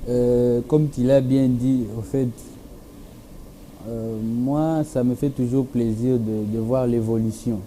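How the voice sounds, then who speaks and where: A young man with a Congolese French accent and Swahili as his first language answers a TV interviewer. His voice is mellow and dark at a middle pitch, his speech halting with fillers. Faint noise runs underneath. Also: fairly even intonation, rough recording. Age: young adult. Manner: hesitant, many fillers. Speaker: musician guest. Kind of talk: television interview.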